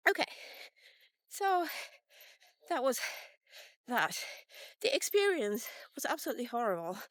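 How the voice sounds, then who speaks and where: A woman's voice: high voice, sing-song, takes it slowly, pauses often, a bit bright, dry recording. A woman in her fifties giving a casual, blunt, sweary and rambling vlog rant. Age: 50s. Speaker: vlogger. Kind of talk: casual rant to camera while walking.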